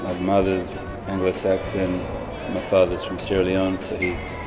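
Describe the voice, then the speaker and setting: A man's voice: deep voice, completely flat delivery, moderate pace. A man from England giving earnest, reflective talking-head answers in an interview. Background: loud background music.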